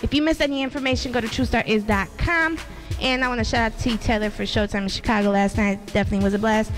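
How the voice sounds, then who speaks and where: A teenage girl co-hosting a youth radio show, chatty as she reads out shout-outs in a small studio. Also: a little high, steady tone, fast, soft background music. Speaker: radio co-host.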